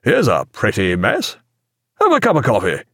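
A man's voice: fairly low pitch, huge pitch swings, moderate pace, a bit bright, husky, no echo, studio quality, exaggerated voice. A man voicing a cheerful, nonchalant sea captain in an audiobook, with a hearty, breezy manner. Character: cheerful, unruffled male sea captain at breakfast.

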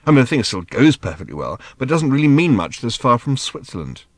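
A man reading a storybook as a bewildered, well-meaning middle-aged father who explains things in a halting, fumbling way. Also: medium pitch, very animated, no echo, studio quality. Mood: patient, explaining.

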